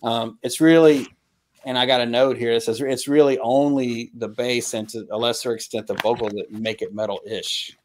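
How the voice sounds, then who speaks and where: A middle-aged man with a mid-pitched voice chats casually about music on a video call. He is opinionated and halting, often repeating words to drive a point home. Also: steady tone, slight background noise. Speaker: album review panelist. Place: home room with record shelves.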